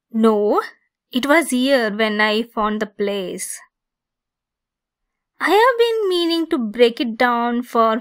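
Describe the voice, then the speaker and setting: Woman voicing a female centaur, possessive, bossy but caring, fairly high voice, very unhurried, teasing, ASMR roleplay. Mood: gentle, coaxing.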